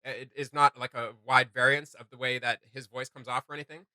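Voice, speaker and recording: A man hosting a casual reaction video, giving gushing, slangy commentary in a halting flow. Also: mid-range voice, flat delivery, pushed and bright, dry recording.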